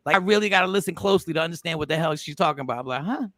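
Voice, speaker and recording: Lively high-voiced man joking around. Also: racing, no echo, clean studio sound. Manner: animated, joking, loud laughs. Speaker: show host. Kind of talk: casual group chat.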